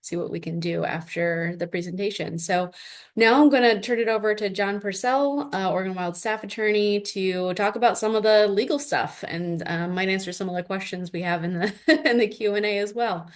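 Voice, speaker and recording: A woman's voice: medium pitch, moderate pace. Woman moderator handing off speakers. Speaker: webinar moderator. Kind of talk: moderating an online webinar.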